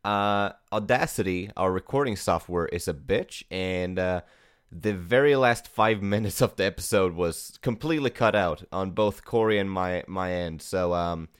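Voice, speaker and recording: A young man records a quick offhand note for his podcast in a smooth, clear, lowish voice. The sound is dry and close, and the delivery is casual. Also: no echo. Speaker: podcast co-host.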